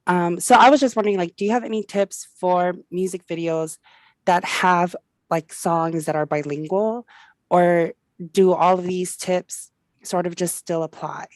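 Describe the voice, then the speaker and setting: A woman's voice: lowish voice, steady tone, unhurried, dry recording. A singer-songwriter, a woman whose native language is Hmong, politely asking a question with some hesitation. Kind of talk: casual question.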